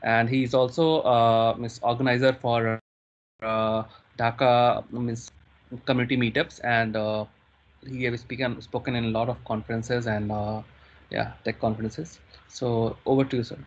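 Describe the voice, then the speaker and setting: A man hosting an online event, introducing the next speaker haltingly with many fillers over a video call. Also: mid-range voice, little pitch movement. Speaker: event host. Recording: dull lo-fi video call audio.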